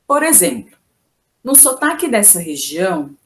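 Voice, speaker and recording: Slow-paced woman teaching a video lesson, unhurried and deliberate in every sentence. She is from Brazil and explains points patiently, stopping to ask the viewer questions. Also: mid-range voice, very animated, slight background noise. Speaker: Portuguese teacher.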